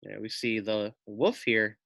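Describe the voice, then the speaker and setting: A man's voice: lowish voice, fairly even intonation, a little slow, creaky, sharp tone, no echo, studio quality. A man reading a children's story aloud expressively, with simple explanatory asides for young listeners.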